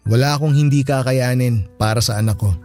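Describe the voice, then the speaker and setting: Young single father for Filipino radio drama, earnest and courteous, average male pitch, music bed male actor. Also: fairly quick, a little mellow, close to an everyday voice. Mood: earnest, hurt. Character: polite, earnest young single dad, former flame.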